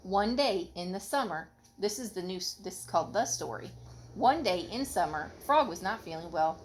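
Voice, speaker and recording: A woman's voice: on the low side, expressive, normal speed. A mother reading a children's story aloud expressively, breaking off for casual questions to her children. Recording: home recording with background noise.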